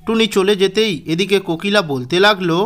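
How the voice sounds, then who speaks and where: A man's voice: high-pitched, a little fast, fairly bright tone. A man narrating a scripted children's cartoon story as its storyteller.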